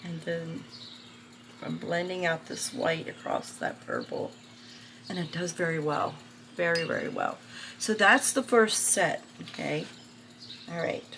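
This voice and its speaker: Hoarse middle-aged woman host, gravelly raspy voice, unhurried art review, birds chirping in a noisy room. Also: lowish voice, huge pitch swings, fairly bright tone. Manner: slow, rambling, many fillers and restarts. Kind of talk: casual art supply review.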